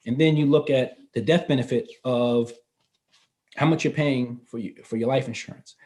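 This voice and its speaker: A man in his fifties talks through financial ideas in an easygoing, explanatory way. His voice sits at an ordinary middle pitch, and he laughs readily, sometimes restarting his phrases. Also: normal speed, a little mellow. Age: 50s. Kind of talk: casual interview explanation. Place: North Carolina.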